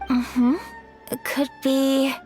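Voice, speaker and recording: A woman voicing a refined, composed young noblewoman in a video game, speaking with gentle, formal politeness. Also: sits high, little pitch movement, very slow, soft background music. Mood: polite.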